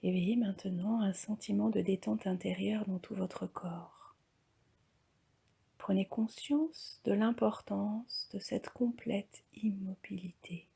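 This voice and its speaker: A woman leading a guided yoga relaxation as an instructor, speaking calmly and softly, often close to a whisper. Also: medium pitch, takes it slowly. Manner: calm, soft, breathy, often near-whispered delivery.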